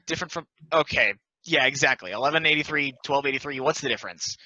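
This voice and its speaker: A man's voice: mid-range voice, fast, sharp tone, no room sound, highs cut off. A man reading the part of a brash, foul-mouthed friend, arguing in slangy banter at a play table read. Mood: exasperated. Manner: combative, slangy.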